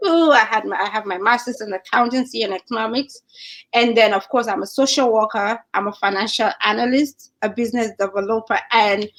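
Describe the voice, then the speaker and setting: Animated Nigerian woman, fairly high voice. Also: brisk. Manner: animated, laughs often, repeats words. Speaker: guest. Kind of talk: casual chat.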